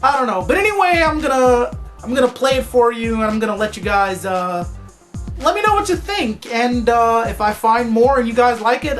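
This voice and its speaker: Woman vlogger chatting, kinda rambly, voice on the high side. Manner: rambling, offhand. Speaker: online video host. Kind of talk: casual chat to viewers.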